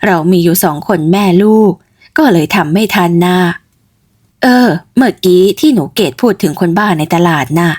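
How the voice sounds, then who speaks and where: A woman's voice: on the low side, lively intonation, normal speed, darkish tone, pitched below the performer's own voice. A woman voicing a middle-aged village mother in a storybook tale, motherly and chatty with a fretful streak. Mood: friendly, curious. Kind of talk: storybook character voice.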